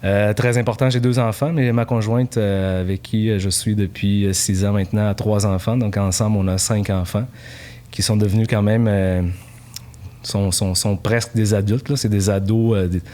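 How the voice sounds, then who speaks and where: A man in his forties from Portugal talks easily as a podcast guest, stopping often on fillers and restarting his sentences. His voice sits fairly low for a man. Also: moderate pace. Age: 40s. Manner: relaxed, many fillers and restarts. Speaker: guest, company founder. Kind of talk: casual podcast interview.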